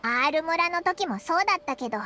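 A woman voicing a small childlike floating companion in an anime game, blunt and grumbling, with a squeaky voice. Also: lively intonation, fast, sharp tone, slight background noise, heavily acted. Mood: exasperated. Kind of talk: Japanese anime game voice acting.

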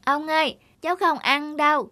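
A woman's voice: high-pitched, fairly even intonation, moderate pace, sharp tone, dry recording. A woman voicing a sweet, devoted little peasant boy in a children's cartoon, with a polite, childlike, pleading manner. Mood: gently pleading. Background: music.

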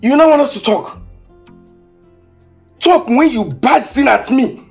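An actor playing a struggling, hot-tempered young man who swings between pleading and shouting. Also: extremely high pitch, animated, unhurried, creaky, faint music behind, exaggerated voice. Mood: angry. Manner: pleading then shouting in bursts. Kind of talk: Nigerian film drama acting.

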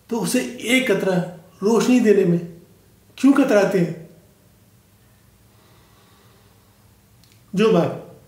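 Casting: male actor as dignified, hurt elderly father, mellow highish voice, solemn film-style speech. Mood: pleading, reproachful. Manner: solemn, measured, earnest, with long pauses. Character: aggrieved, dignified aging father, a humble author addressing an audience. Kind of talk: dramatic film monologue, delivered as a formal speech. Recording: echoey room.

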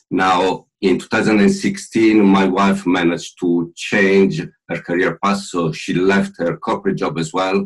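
A middle-aged man speaks English with an Italian accent, his voice very low and a little husky. He talks over a video call in a chatty, joking way, with many pauses to think. It sounds like a home room, not a studio. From Italy. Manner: jokey, chatty, with filler pauses. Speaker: podcast guest. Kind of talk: casual interview talk.

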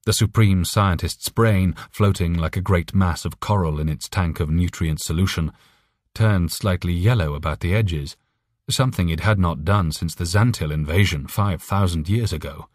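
A male audiobook narrator reads a scripted short story. His voice sits low, and the sound is dry, with very little room in it. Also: moderate pace, no room sound.

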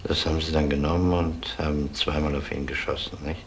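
An actor playing a composed, probing police detective in a German radio crime drama, questioning in a measured, matter-of-fact way. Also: male voice, extremely low pitch, level tone, slight background noise. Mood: calm, probing.

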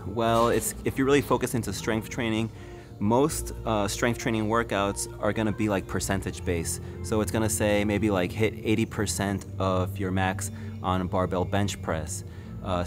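A man in his thirties with a lowish voice gives relaxed step-by-step tips straight to the camera. He is recorded up close in a big indoor gym. Music plays steadily under his voice. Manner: relaxed, explanatory, addresses viewers directly. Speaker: fitness YouTuber. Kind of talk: casual how-to vlog. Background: background music.

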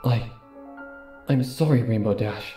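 A man voicing a regal, sorrowful female pony ruler in a halting, grave manner for a story. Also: lowish voice, echoey room, voice pushed down. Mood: sorrowful, apologetic. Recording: music behind the voice.

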